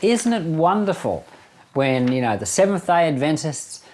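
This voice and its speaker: A man's voice: a little high, big pitch swings, moderate pace, a little mellow, slight background noise. A middle-aged man gives informal Bible commentary as a pastor, explaining things conversationally and repeating phrases for emphasis. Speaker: pastor and Bible podcast host.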